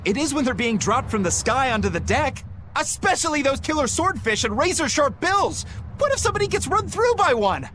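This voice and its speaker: A man playing an excitable, fretful young pirate crewman in a video game. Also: extremely high pitch, brisk, background noise. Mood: worried. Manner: hesitant, complaining, excitable.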